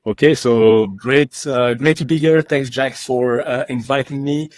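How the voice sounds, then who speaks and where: Belgian man, French accent, halting. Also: medium pitch, steady tone, no room sound. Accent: French-accented English. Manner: hesitant, many uh fillers. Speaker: podcast guest. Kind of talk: casual interview.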